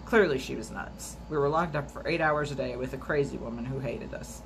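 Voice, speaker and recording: A woman's voice: extremely low pitch, creaky. Woman reading aloud over background noise. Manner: steady measured reading with occasional slips and restarts. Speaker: storytime reader.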